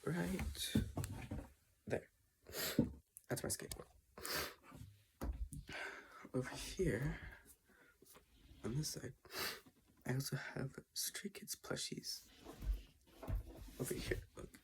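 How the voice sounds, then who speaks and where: A teenager talking casually in a personal vlog from their bedroom, halting with restarts and often dropping to a half-whisper. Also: mid-range voice, animated, noisy background. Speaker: vlogger. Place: own bedroom, indoors.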